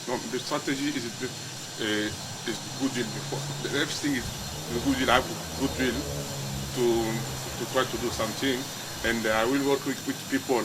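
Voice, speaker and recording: A man from the Democratic Republic of Congo, answering interview questions haltingly as he searches for English words. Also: mid-range voice, steady tone. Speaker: presidential candidate. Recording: loud background noise.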